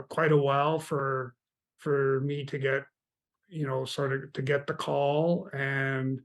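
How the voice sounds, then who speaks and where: A man from Canada, a guest in an interview, telling stories about mentoring in a relaxed, meandering way. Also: mid-range voice. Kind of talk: casual interview chat.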